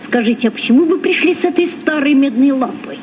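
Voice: sits high, fast, lightly acted. An actor playing an eager, polite youngster who asks curious questions in a vintage radio play. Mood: curious, wondering. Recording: muffled, noisy, distant old radio.

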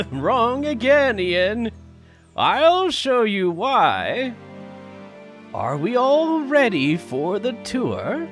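A man's voice: sits high, very animated, very slow, a bit bright, faint music behind, heavily acted. A man doing a grandly proud old park owner. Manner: grand, enthusiastic, lingering delivery. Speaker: fan voice actor. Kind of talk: fan audio drama acting.

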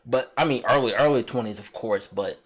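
A man's voice: medium pitch, brisk. A young American man giving a casual, slangy, exclamatory reaction commentary as a video host. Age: young adult. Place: small indoor room. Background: loud music from the video being watched.

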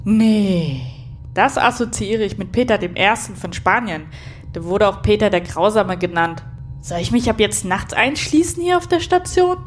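Female station captain acted by a woman: mid-pitched, blunt, sardonic, irritable. Sci-fi audio drama, light music bed. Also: expressive, normal speed, close to an everyday voice. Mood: exasperated. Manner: blunt, sarcastic, exasperated.

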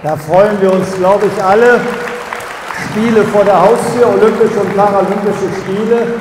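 A man's voice: high voice, fairly even intonation, moderate pace. An older man interviewing a guest on stage in a sports hall, speaking formally into a handheld mic and rallying the audience. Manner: formal, announcing, rallying the crowd. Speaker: host interviewer. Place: large sports hall, indoors. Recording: echoey hall with crowd noise.

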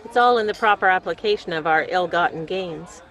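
A woman's voice: on the low side, brisk, a bit bright, a faint crowd. A woman playing a devoted, scheming criminal lover in a period radio melodrama, with romantic, theatrical phrasing. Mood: smug, fond.

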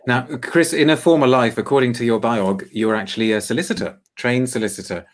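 A man's voice: medium pitch, fast. Chatty male livestream host. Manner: chatty, polite, stammers on restarts. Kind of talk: hosting a live interview stream. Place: home room, indoors. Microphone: video call webcam mic.

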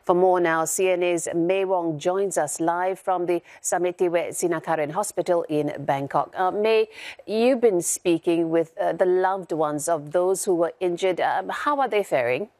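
A woman anchors the news from a studio, composed and scripted. Her voice sits a little low, recorded dry and close. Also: normal speed, a little mellow, no echo.

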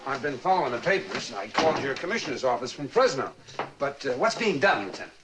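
Actor as a fast, impatient male political candidate. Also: mid-range voice, sing-song, background noise, close to an everyday voice. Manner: commanding, clipped, impatient. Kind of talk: television crime drama acting.

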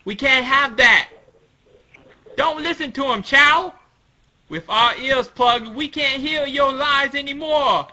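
A man voicing frantic cartoon guards and little rebels, exclaiming loudly and over-dramatically. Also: very high-pitched, slow, muffled recording, pitched above the performer's own voice, exaggerated voice. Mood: frantic, commanding.

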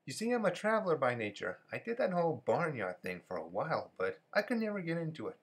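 A man's voice: a little high, sing-song, quick pace, background noise. A man voicing a cocky, breezy American rooster, a wisecracking drifter, in an amateur cartoon fan dub. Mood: cocky, boastful.

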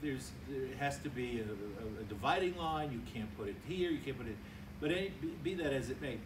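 Older priest giving a homily, bit high voice, sounds far off with noise in the room. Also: male voice. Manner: conversational, acts out little dialogues. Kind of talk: sermon. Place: large church hall with altar. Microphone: stand mic at a lectern, filmed from far. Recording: roomy church hall, distant mic.

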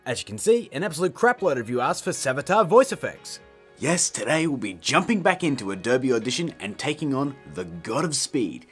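A man's voice: medium pitch, a bit bright. A man in his thirties hosting a casual scripted tutorial in a jokey, emphatic, theatrical way. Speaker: tutorial host.

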